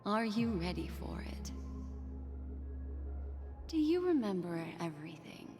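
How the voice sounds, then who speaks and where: A woman playing a mysterious, soothing and teasing storyteller in a fantasy game, with a deliberate, hushed bedtime-story delivery. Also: mid-range voice, big pitch swings. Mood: earnest, teasing. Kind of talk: scripted game narration. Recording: long reverb, music behind. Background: game music.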